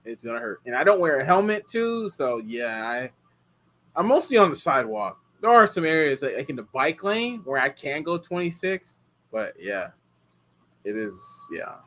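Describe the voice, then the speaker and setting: A man in his thirties from the United States chats loosely with viewers, his fairly high voice swinging up and down a lot. He rambles, circles back on himself and fills gaps with "you know" and "I mean.". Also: very animated, normal speed, bright, forceful tone. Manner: rambling, many fillers and restarts. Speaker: car rental host answering viewer questions. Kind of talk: casual live chat.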